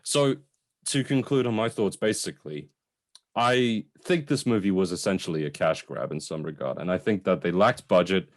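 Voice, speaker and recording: A young man in casual film discussion as a co-host, giving measured opinions and posing questions. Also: deep voice. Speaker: film review podcast co-host.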